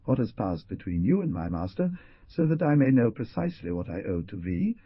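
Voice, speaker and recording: A man's voice: lowish voice, sing-song, brisk, breathy edge, no room sound, exaggerated voice. A man voicing a newly freed man who is overjoyed and grateful, speaking in fervent, pleading exclamations. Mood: earnest. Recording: dull lo-fi recording.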